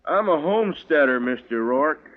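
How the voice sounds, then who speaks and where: Man as a folksy homesteader, slightly high voice. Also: animated, moderate pace, lightly acted. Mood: quietly defiant. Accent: rural American. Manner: folksy, quietly defiant. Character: stubborn, plain-spoken frontier homesteader standing his ground. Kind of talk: old-time radio western acting. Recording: dull, muffled vintage radio recording.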